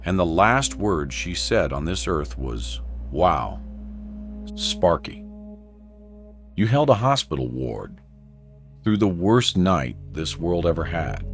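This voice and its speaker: Soft music runs under a man playing a radio host at the end of the world. His deep voice swings expressively, weary and tender, with a wry edge as he eulogizes in a scripted drama. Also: big pitch swings, takes it slowly, long pauses, exaggerated voice. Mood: grieving reverence.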